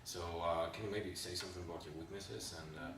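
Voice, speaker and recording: A man's voice: sits low, fairly even intonation, quick pace. A man interviewing a job applicant, polite and hesitant, with many fillers. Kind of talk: practice job interview questions. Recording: echoey room, distant mic, background noise.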